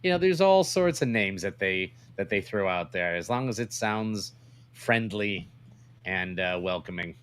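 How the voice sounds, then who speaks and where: A man's voice: lowish voice, animated, measured pace, a bit bright, clear, resonant tone. A man co-hosting a podcast in casual, bantering chat, crude and joking, often questioning his partner. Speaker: podcast co-host.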